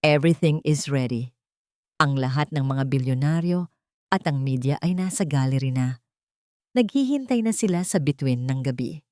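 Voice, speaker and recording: A woman with a Filipino accent voicing a composed, admiring male lawyer in an audio drama, gentle, hushed and deliberate. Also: rumbling low, lively intonation, no room sound, clean studio sound, voice pushed down. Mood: soft admiration.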